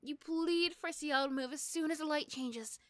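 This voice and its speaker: A woman's voice: high-pitched, normal speed, dry recording, clean studio sound. A woman narrating a scripted second-person roleplay story.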